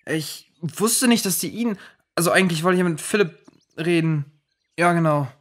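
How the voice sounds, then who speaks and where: A man's voice: a little high, normal speed, a little hiss or hum, natural voice. A man playing an awkward, defensive teenage boy with a casual, slangy manner in a children's audio drama.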